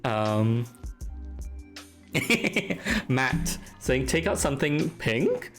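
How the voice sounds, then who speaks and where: Gravelly Singaporean man streaming. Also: medium pitch, big pitch swings, very slow, over music. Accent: Singaporean English. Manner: chatty, teasing, laughs often, reads chat aloud. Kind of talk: casual live-stream chat while drawing.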